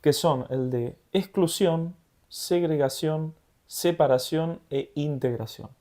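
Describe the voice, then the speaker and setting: Podcast co-host: young Argentine man, Rioplatense accent, warm mellow tone, easygoing talk. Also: a little high, slow pace. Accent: Rioplatense Argentine Spanish. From Buenos Aires, Argentina. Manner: relaxed and conversational, laughs easily. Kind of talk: casual podcast chat.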